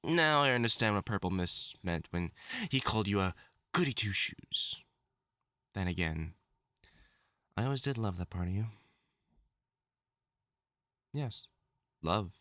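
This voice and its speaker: A man's voice: on the low side, lively intonation, sharp tone, no echo, studio quality. A man playing a theatrical male wolf-mutant supervillain in love, teasing and wounded, in a scripted audio roleplay spoken to the listener. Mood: teasing, menacing. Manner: theatrical, taunting, dramatic swoops and pauses.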